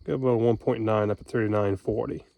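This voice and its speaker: A man's voice: lowish voice, fairly even intonation, fast talker, vocal fry, warm tone, no room sound. A man talking casually and informally in a folksy way, explaining things as he goes. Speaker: homesteader and amateur radio operator vlogging.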